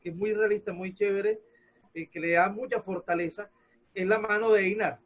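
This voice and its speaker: A man hosting a spontaneous interview, often halting as he searches for words. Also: sits high, steady tone, dull audio, a little hiss or hum. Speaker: host, interviewer.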